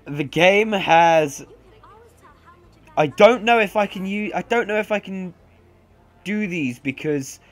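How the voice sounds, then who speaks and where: A man's voice: high-pitched, takes it slowly, pauses often. A young man with a stuffy nose giving sarcastic, sweary, chatty commentary over a video game. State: congested. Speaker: let's play commentator. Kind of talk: casual gameplay commentary.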